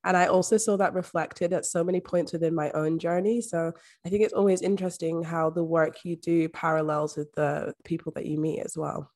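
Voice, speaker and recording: A woman's voice: on the low side, fairly even intonation, fairly quick, darkish tone. Reflective young Scottish woman. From Scotland. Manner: open, reflective, uses "like" often. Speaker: podcast guest, mindset coach. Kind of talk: casual interview talk.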